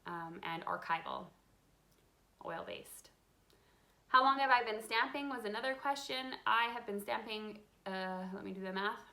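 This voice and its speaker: Bright-voiced woman chatting casually. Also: mid-range voice, unhurried, vocal fry. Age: 30s. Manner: chatty and relaxed, reading out viewer questions with frequent ums. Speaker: craft channel host. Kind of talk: live question-and-answer chat. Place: home craft room with a desk, lit by a window.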